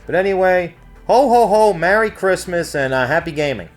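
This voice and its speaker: A man's voice: high voice, lively intonation, moderate pace, soft background music. A man giving a casual, rambling video game review in an informal, conversational way. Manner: rambling, informal, frequent "like, you know" asides.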